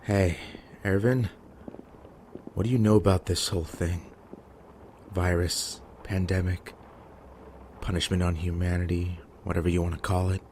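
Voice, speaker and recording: A man playing a gruff, guarded survivor in an audio drama, blunt and terse. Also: low voice, flat delivery, measured pace, long pauses, a little mellow, slight background noise. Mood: probing. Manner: blunt, terse, foul-mouthed.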